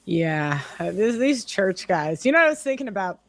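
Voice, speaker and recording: A woman's voice: mid-range voice, sing-song, moderate pace, no echo. A woman giving emphatic, mocking commentary on a casual livestream. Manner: emphatic and mocking, repeats herself.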